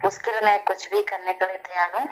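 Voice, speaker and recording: A woman's voice: medium pitch, fairly even intonation, fast. A woman from Delhi talking on a phone call, insistent and repetitive, answering in short plain sentences. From Delhi, India. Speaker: caller seeking film work. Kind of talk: phone conversation.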